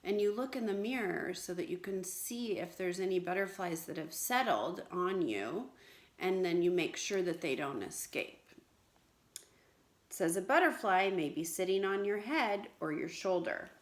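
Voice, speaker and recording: A woman's voice: fairly low pitch, animated, moderate pace. American woman teacher reading aloud, echoey room. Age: middle-aged. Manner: clear, simple explaining with questions to listeners. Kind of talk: read-aloud lesson for young children with explanations and questions. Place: small indoor room at home, seated on a bench. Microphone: camera or phone a short distance away.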